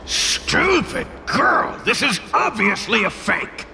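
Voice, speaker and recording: An actor playing a scornful, blustering demon spirit in a video game, with a gruff, mocking manner. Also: male voice, very high voice, sing-song, takes it slowly, sharp tone, slight background noise, exaggerated voice. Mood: furious. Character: brash, scornful male demon spirit bound to a timid girl. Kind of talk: video game character acting.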